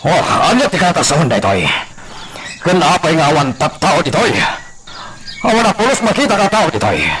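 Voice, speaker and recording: An actor playing a bewildered, excitable village man in a radio drama, with an Ilocano accent. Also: a little high, brisk, pauses often, slight background noise, low-quality recording.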